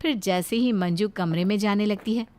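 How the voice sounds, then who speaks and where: A woman's voice: medium pitch, normal speed, dark tone. A woman narrating a scripted moral story as a storyteller.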